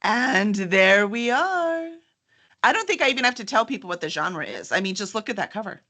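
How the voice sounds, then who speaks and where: Female host, bright tone, enthusiastic and conversational, able to slip into reading copy aloud. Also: medium pitch, big pitch swings, moderate pace. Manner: chatty, enthusiastic. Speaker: host and book publisher's representative. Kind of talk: upbeat interview chat with some reading aloud.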